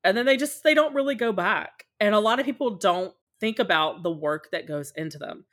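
A woman's voice: mid-range voice, animated, brisk, a bit bright. A woman talking casually and candidly as a podcast guest, at length and in a conversational way. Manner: talkative and candid, often acting out short quotes. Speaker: podcast guest, podfic creator.

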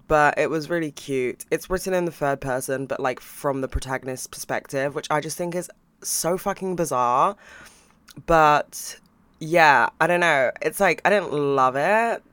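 A young man hosting a podcast, chatting casually about books and TV, swearing freely and laughing now and then, his voice a bit croaky. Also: fairly high pitch, pushed and bright, no echo. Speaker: podcast host.

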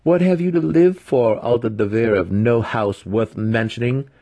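Sly young dark elf apprentice for fantasy audiobook, performed by a man: lifted pitch, cruel, taunting, coolly menacing manner. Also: moderate pace, close to an everyday voice. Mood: teasing, threatening. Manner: sly, taunting, matter-of-fact about menace.

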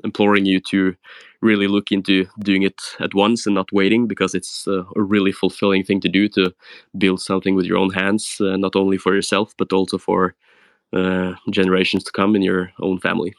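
A man from Norway speaks slowly, with many halting fillers. His voice is low and gravelly with a flat, even pitch. The sound is dry and close. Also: no room sound. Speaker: guest speaker. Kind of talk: casual chat on a live audio room.

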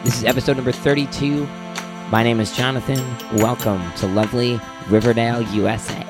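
A man with a hoarse, worn-out voice hosting a podcast, chatting casually with laughs and fillers. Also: medium pitch, fairly even intonation, fairly quick, music in the background. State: hoarse, losing his voice. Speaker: podcast host.

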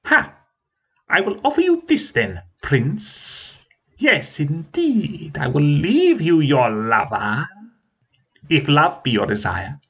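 A man voicing a scheming, slippery male dragon in a storybook reading, with a shrill, hissing voice and slow, drawn-out, mocking speech. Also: huge pitch swings, a bit bright, breathy edge, highs cut off, heavily acted. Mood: sly.

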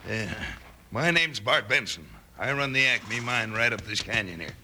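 An actor playing a belligerent frontier mine boss with rough, blustering talk in a 1950s western radio drama. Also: male voice, on the low side, lively intonation, normal speed, sharp tone. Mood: friendly, uneasy. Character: belligerent, bullying western mine boss who turns falsely friendly. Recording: noisy old-time radio recording.

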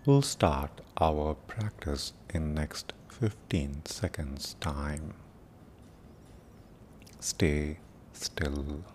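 A man with a very low voice guides a meditation at an extremely slow pace. He is solemn and deliberate, leaving long pauses between short, prayerful phrases. A faint rumbling noise sits behind the voice. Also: a little mellow. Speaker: meditation teacher and podcast host.

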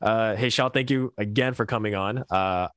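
A man's voice: on the low side, normal speed, fairly bright tone, clear, resonant tone, no room sound, studio quality. Laid-back young Canadian man hosting a podcast. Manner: chatty, laughs often, many restarts. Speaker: podcast host. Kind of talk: casual chat. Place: Montreal.

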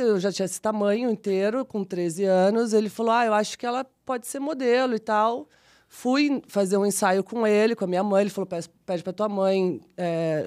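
Chatty São Paulo woman in her forties. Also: mid-range voice. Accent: São Paulo Brazilian Portuguese. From São Paulo, Brazil. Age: 40s. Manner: chatty, animated, self-deprecating, frequent restarts. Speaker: guest, model and actress. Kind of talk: casual interview storytelling.

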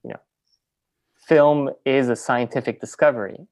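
A woman filmmaker and teacher, in a relaxed interview, who talks in loose, rambling sentences full of fillers and restarts. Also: a bass voice, normal speed, muffled recording. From French Venezuelan, raised in New York. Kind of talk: casual interview conversation.

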